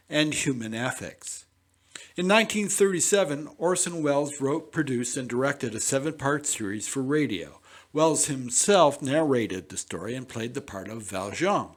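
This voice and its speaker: A man reading a formal, deliberate scripted introduction as a presenter. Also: medium pitch. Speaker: narrator, presenter.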